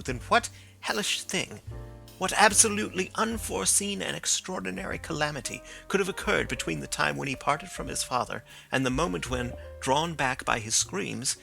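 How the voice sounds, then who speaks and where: A man narrating a classic story as an audiobook, reading in a steady, reflective way. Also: a little high, vocal fry.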